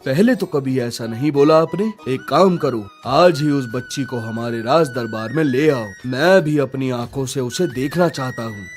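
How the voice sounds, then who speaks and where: A commanding king, played by a man, speaks formally in a middle-pitched voice. He sounds authoritative and questioning, in cartoon-story style. Soft music is behind him. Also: expressive, moderate pace, few pauses, natural voice. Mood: concerned, commanding. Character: commanding king, concerned husband of a grieving queen. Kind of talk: Hindi cartoon story dialogue. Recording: music under the voice.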